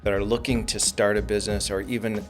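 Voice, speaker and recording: A man hosting a business podcast, introducing his guest in an earnest, measured way with frequent fillers. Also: fairly low pitch, flat delivery, soft background music. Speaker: podcast host. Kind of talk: interview hosting.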